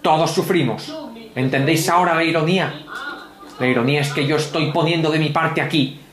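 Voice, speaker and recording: A man's voice: a little high, fairly quick, reverberant, a crowd in the background. A man, giving sardonic, grumbling, mock-pompous gameplay commentary from a home room. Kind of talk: sarcastic ranting game commentary.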